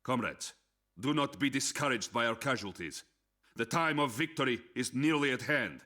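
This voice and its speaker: Forceful Soviet commander, bright voice. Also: male voice, medium pitch, moderate pace. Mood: rousing. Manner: forceful, exhorting, formal. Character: resolute Soviet army commander giving a battle briefing. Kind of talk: scripted military briefing narration.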